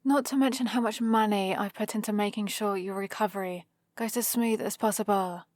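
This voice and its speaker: A British woman playing a proud, scheming supervillain with a soft spot, in a teasing, intimate ASMR roleplay. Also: medium pitch, steady tone, a little fast, no room sound, natural voice. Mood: proud, evasive. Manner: teasing, sardonic, casually conversational. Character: proud scheming female supervillain, gruffly caring for a rescued hero.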